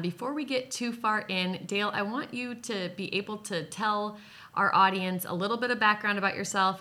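Podcast host lady, bright sharp voice, upbeat and friendly, some ums. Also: mid-range voice. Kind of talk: casual interview hosting.

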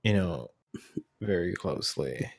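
A man chatting casually, with a halting, informal delivery. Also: sits low, expressive, gravelly, slightly rough. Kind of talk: casual chat.

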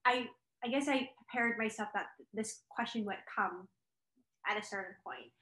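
A woman's voice: a little high, normal speed, a bit bright. A young woman co-hosting a casual chat, talking freely with lots of fillers and laughs. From Chinese heritage. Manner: chatty, laughs often, many fillers. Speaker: co-host. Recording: echoey room.

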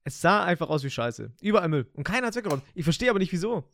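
A young man co-hosting a casual podcast chat, slangy and excitable, laughing often. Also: on the high side, very fast, vocal fry, no echo. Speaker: podcast co-host.

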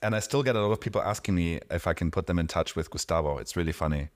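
Very deep-voiced enthusiastic man. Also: rapid-fire, dry recording, studio quality. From Mexico. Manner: enthusiastic, peppered with "you know". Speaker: guest, coffee subscription owner. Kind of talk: casual conversational interview.

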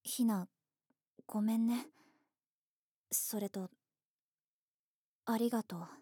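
女性声優が、ぶっきらぼうで不器用に真剣な女子高生を演じている。. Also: female voice, fairly high pitch, steady tone, slow, warm tone, husky, dry recording, studio quality. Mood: earnest. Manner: curt, awkwardly earnest. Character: blunt, awkward high school girl. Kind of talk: voice drama acting.

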